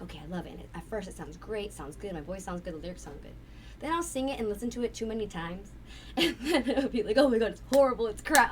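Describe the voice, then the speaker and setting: A woman with a raspy voice, chatting candidly and at length as a guest, swearing casually. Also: mid-range voice. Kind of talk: casual interview chat. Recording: distant mic with faint noise.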